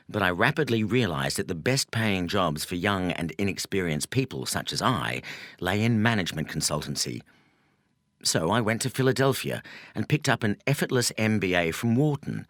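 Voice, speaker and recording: A man reading a nonfiction audiobook in a measured, articulate way with emphatic phrasing. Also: low voice, a bit bright, no echo, clean studio sound. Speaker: audiobook narrator. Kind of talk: scripted audiobook narration.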